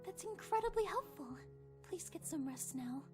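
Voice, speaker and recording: A woman playing a kind, sympathetic young female pony investigator in a cartoon, with a gentle, careful manner. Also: very high-pitched, animated, normal speed. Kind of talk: animated cartoon acting. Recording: music behind the voice.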